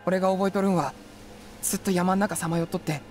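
A man's voice: high voice, steady tone, quick pace, a little mellow, close to an everyday voice. A man playing an artless, clingy teenage boy with a Mie dialect in a voice comic, casual and boyish. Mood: earnest confession. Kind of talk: voice comic acting. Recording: faint music and insects behind.

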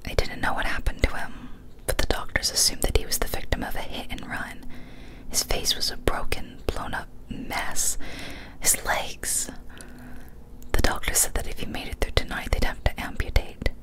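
A young woman reads a story aloud in a soft breathy ASMR whisper, hushed and deliberate, close to a studio microphone. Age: young adult. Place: small decorated room indoors. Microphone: large studio microphone up close.

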